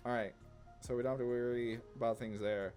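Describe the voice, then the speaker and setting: A man with a mid-pitched voice talks casually through a game as he plays. He rambles informally, reasoning out each move aloud. Music plays behind him. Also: steady tone, brisk, darkish tone. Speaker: gaming content creator, player. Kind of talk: casual gameplay commentary, thinking aloud.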